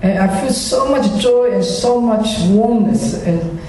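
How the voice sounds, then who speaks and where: Slow, high-voiced man in echoey hall. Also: darkish tone, a little hiss or hum. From China. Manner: hesitant, many fillers, chuckles often, self-deprecating. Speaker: speaker giving a personal faith testimony. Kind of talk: church testimony to a congregation. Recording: echoey hall, speaker far from mic.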